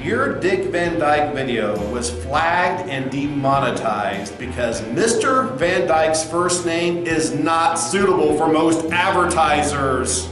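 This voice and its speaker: A man's voice: a little high, lively intonation, takes it slowly, hardly any pauses. A man playing a smug, condescending, evasive company executive in a comedy sketch. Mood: dismissive. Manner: condescending, pompous. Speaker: narrator and sketch performer. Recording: roomy sound with music underneath.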